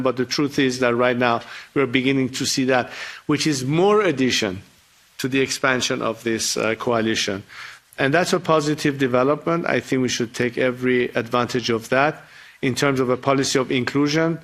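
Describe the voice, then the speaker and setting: A man speaking as a guest at a formal event, answering a question in a measured, deliberate way with frequent hesitations. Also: medium pitch, a bit bright. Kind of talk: spontaneous formal remarks.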